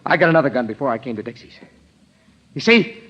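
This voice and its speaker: An actor playing an overwrought, stammering ex-convict bent on revenge in an old-time radio crime drama. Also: male voice, medium pitch, animated, fast, a bit bright, a little hiss or hum, close to an everyday voice. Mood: desperate, resolved. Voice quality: nervous, broken laughter. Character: hysterical wronged male ex-convict, desperate and vengeful. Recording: dull vintage radio recording.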